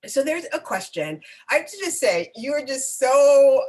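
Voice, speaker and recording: A woman interviewing a guest in a live online conversation, speaking haltingly with many pauses and restarts and warm encouragement, heard over a video call. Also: high-pitched, big pitch swings. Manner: effusive and encouraging. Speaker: interviewer for a nonprofit network.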